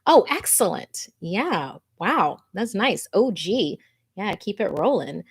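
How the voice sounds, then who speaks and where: A woman's voice: mid-range voice, sing-song, slow, slightly rough. A woman, an informal and chatty host talking to viewers and reading out their stories. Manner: chatty, informal, easily amused. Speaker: host of a scary story show. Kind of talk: casual livestream chat and reading listener messages and stories aloud.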